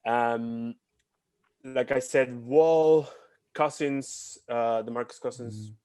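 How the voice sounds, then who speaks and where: A man's voice: medium pitch, slow pace, warm tone, clear, resonant tone, dry recording. A young man from Argentina co-hosting a basketball podcast over a video call, talking animatedly and repeating points for emphasis. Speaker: podcast co-host. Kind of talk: casual basketball chat. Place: home room, video call. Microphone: headset.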